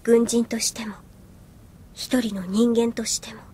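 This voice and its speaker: An actress playing an earnest, reserved young woman soldier in an anime audio drama, composed and soft-spoken. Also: fairly high pitch, level tone, measured pace, slight background noise, lightly acted. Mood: quiet, wistful. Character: earnest, reserved young female soldier recalling her past. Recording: music behind the voice.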